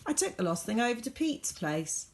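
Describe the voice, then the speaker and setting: A woman reading a children's storybook aloud as a teacher would, with dramatic, varied emphasis and deliberate pauses. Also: fairly high pitch, sing-song, noisy background.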